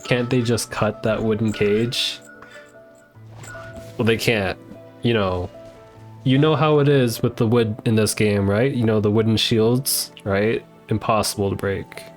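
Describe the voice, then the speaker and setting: A man's voice: on the low side, steady tone, measured pace, faint music behind. A man giving casual live commentary on a game, laid-back, wry and joking. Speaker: game streamer.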